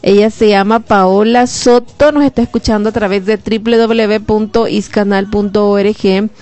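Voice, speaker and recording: A woman's voice: medium pitch, fairly even intonation, a little slow, darkish tone, no room sound. A woman with a Salvadoran Spanish accent hosting a live radio show, chatting and greeting listeners. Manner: friendly, chatty, reads listener messages aloud. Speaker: radio host. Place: radio studio.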